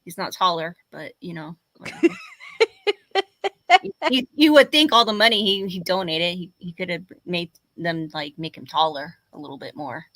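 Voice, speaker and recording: A woman's voice: on the low side, normal speed, fairly bright tone, no room sound. A congested woman co-hosting a casual chat, who speaks in a playful, emphatic way. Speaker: co-host.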